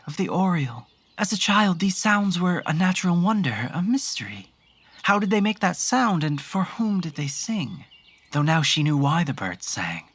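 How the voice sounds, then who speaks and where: A narrator reading scripted horror fiction in a measured, literary storytelling manner. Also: mid-range voice. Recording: faint noise and ambience behind voice.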